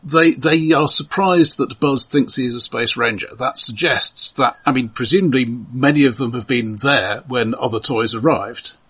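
A man with a husky, bright voice at a middling pitch talks analytically about film. He works through his reasoning step by step in a casual, tentative way, as a podcast host. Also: moderate pace, hardly any pauses, low-quality recording.

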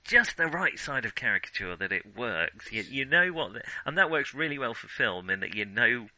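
A man's voice: medium pitch, sing-song, normal speed, sharp tone, a little hiss or hum, rough recording. A man co-hosting a film podcast, chatting casually with wry, enthusiastic humour. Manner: enthusiastic, chuckling, wryly joking.

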